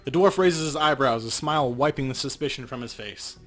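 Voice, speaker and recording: A man's voice: medium pitch, brisk, a bit bright. A man co-hosting a let's play, reading game text as narration and adding casual comments. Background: game music.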